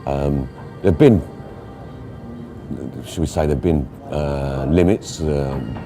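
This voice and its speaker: A man with an extremely deep voice speaks as an exhibitor in an informal interview. His delivery is halting and understated, and music is clearly heard behind him.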